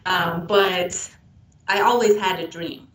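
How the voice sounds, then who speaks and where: A woman from Iran with a Persian accent and Persian as her first language, answering interview questions in a halting way with frequent pauses. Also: lowish voice, steady tone, fairly bright tone. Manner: halting, pausing often between phrases. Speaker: interviewed model. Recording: roomy, distant mic with background noise.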